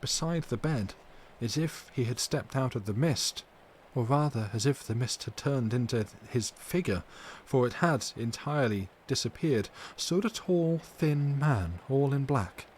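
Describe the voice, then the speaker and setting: A man voicing a distressed but tender and brave young wife, recounting in an earnest, measured, formal way for an audiobook. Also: mid-range voice, natural voice. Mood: troubled recounting. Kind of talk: dramatic audiobook character reading. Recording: steady background noise.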